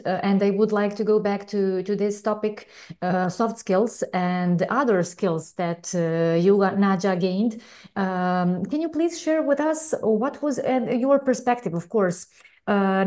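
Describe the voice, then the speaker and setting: Mellow-voiced woman moderating a panel. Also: mid-range voice. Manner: hesitant, many "uh" fillers, short backchannels.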